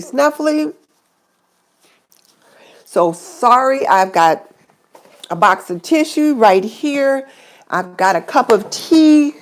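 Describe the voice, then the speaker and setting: A middle-aged woman hosting her own live stream, chatting casually and greeting viewers by name, with a stuffy nose from a cold. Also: fairly high pitch. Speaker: YouTube channel host.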